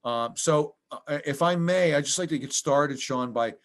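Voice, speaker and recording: An American man from Pennsylvania interviewing a guest from home over a video call, with many ums and restarts. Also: on the high side. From United States. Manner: halting, full of ums and restarts.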